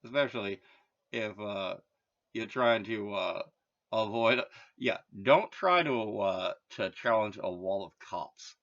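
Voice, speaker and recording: A man's voice: lowish voice, expressive, slow, a bit bright, highs cut off. A man from Massachusetts talking in a rambling, casual monologue, full of filler words and wry asides. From Massachusetts, USA. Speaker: vlogger.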